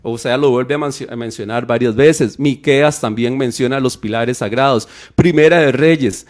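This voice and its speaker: A Costa Rican man teaches Bible history on a radio show, in a voice of ordinary pitch. He wanders through asides, restarts his sentences and hunts for words as he goes. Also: moderate pace. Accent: Costa Rican Spanish. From Costa Rica. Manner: lively, digressive, with many restarts and fillers. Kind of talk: explanatory radio Bible talk. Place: radio studio.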